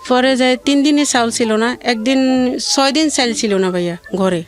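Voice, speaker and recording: Woman, fairly high voice. Plaintive, halting guest recounting personal hardship. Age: adult mother of two. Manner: plaintive, rambling, halting with repeated phrases. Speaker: guest telling her life story. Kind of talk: personal hardship testimony in conversation.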